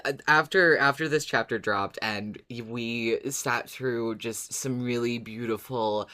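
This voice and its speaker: A man's voice: medium pitch, unhurried, bright, forceful tone. Wry man co-hosting a casual podcast. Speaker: podcast co-host. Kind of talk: casual recap and discussion.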